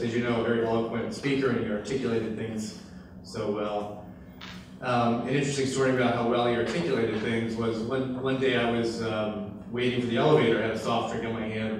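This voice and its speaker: A man who directs a fellowship program, introducing a lecture speaker with frequent pauses and fillers. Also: on the low side. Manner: hesitant, many fillers and restarts. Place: lecture hall. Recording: distant mic with echo and room noise.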